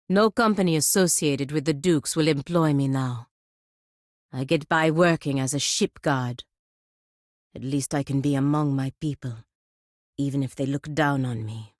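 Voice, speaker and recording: A low-pitched stern game warrior woman, proud and dutiful. She speaks formally and with measured weight, as if every word is counsel or admonishment. The sound is dry and studio-clean. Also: no room sound. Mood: guarded, resigned. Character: proud, stern warrior knight sworn to her republic, woman. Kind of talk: video game character dialogue.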